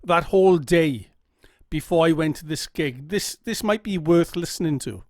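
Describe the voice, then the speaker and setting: A man's voice: on the high side, animated, normal speed, darkish tone, dry recording, studio quality. A middle-aged man with a Welsh accent telling his own story to camera, earnest and candid, often checking in with "okay?". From Wales. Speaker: vlogger. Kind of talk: personal story told to camera. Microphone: camera mic.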